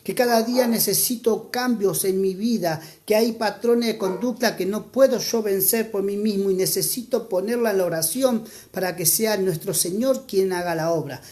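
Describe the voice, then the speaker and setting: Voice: mid-range voice, mellow. Earnest Argentine preacher. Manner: earnest, exhorting, slows down for emphasis. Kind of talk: church sermon with scripture reading.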